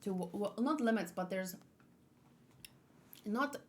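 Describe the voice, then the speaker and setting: A woman's voice: mid-range voice, slow, slight background noise. A woman doing a casual read-aloud with chatty, off-the-cuff commentary in an informal manner. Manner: informal, chatty, acts out dialogue lightly. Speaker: reader giving manga read-along and commentary.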